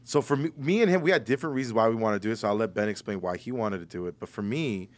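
A man with a low-pitched voice talks casually as a podcast guest. He is animated and enthusiastic, and he repeats phrases for emphasis. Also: very fast. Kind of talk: casual chat.

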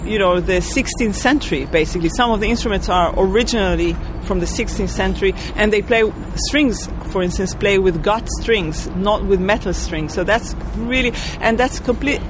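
A woman's voice: mid-range voice, moderate pace, hardly any pauses. Woman composer from Israel, interview guest, careful phrasing, outdoor traffic bed. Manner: thoughtful, searching for words, laughs lightly. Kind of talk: interview answers.

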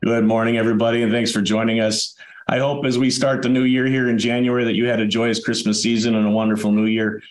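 Hoarse man hosting a webinar. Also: mid-range voice, quick pace. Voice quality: raspy and dry. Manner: relaxed, conversational. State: hoarse from a chest cold. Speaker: webinar host. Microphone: video call. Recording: echoey room over a video call.

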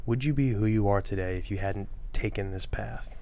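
A man's voice: on the low side, moderate pace, dark tone, lightly acted. An actor playing a blunt, probing male friend, casual and direct, at a script table read. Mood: frank, encouraging.

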